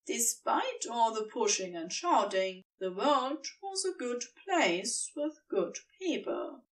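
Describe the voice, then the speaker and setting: Narrator wanted: woman, highish voice, expressive and slow-paced, reading a story, slightly off the mic. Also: recorded from a distance, low-quality recording.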